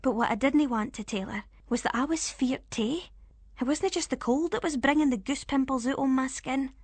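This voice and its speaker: A woman with a Scottish accent narrating a first-person ghost story in a suspenseful, expressive way. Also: sits high, moderate pace, husky, no echo.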